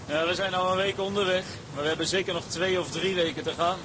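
Actor as higher-pitched gloomy crewman, noisy recording. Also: fairly even intonation, fast talker, close to an everyday voice. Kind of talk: seafaring radio drama acting.

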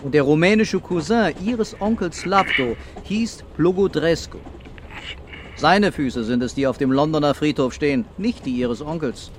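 An actor playing a brooding, terse police commissioner in a German radio crime drama. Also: male voice, a little high, expressive, moderate pace, a little hiss or hum, lightly acted. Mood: brisk, urgent. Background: music and vehicle ambience.

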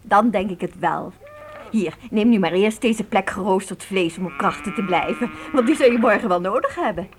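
An actress playing a practical, gently strict pioneer mother in a radio drama. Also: medium pitch, animated, moderate pace, a little hiss or hum, natural voice. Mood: patient, chiding.

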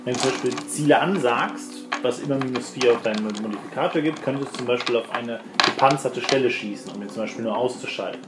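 A man's voice: medium pitch, fast, few pauses, loud music behind the voice. A man running a tabletop role-playing game, explaining scenes and rules in casual, colloquial speech. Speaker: game master. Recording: roomy, clearly noisy room recording.

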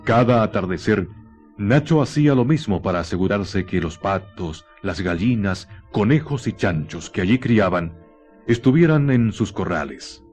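Chilean guy narrating a radio story, lowish voice, solemn n measured, music underneath. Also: expressive, darkish tone. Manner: solemn, literary, measured phrasing. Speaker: radio drama narrator and author. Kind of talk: scripted dramatic storytelling narration.

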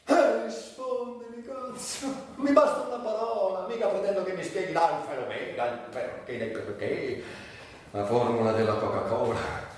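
A man's voice: sits high, moderate pace, lightly acted. An actor playing a volatile, foul-mouthed former addict in a theatrical monologue, erratic, ranting and wheedling as he swings between muttering and shrieking. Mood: desperate pleading. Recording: echoey, distant, faint background noise.

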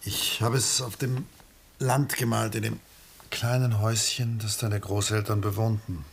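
An actor playing a reserved, world-weary, melancholy middle-aged artist in a 1960s German radio play, measured and guarded. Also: male voice, on the low side, level tone, creaky, a little hiss or hum. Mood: hesitant, melancholy.

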